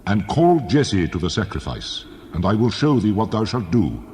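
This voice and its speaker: Majestic voice of God, played by a man, solemn, commanding, with very expressive pitch swings and hall reverb. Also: moderate pace. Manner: authoritative, solemn. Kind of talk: dramatized scripture reading. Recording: long hall reverb, faint noise.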